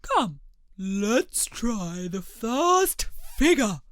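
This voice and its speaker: Woman as melodramatic old turtle creature, storybook character, drawn-out slow words, dry sound. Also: fairly high pitch, sing-song, dry recording, heavily acted. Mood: eager. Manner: slow, drawn-out, swooping delivery. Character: melodramatic, sentimental old Mock Turtle, excitable then mournful.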